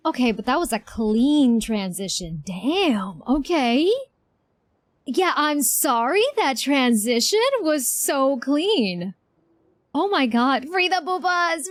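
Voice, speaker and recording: A woman livestreamer reacting to a game in casual, excitable, slangy chat. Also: fairly high pitch, no echo.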